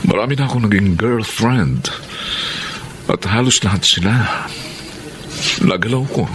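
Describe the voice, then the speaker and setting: A man playing a smooth-talking, evasive Filipino womanizer in a romantic radio drama. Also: on the low side, a little slow, a bit bright, slight background noise. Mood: defensive, insistent.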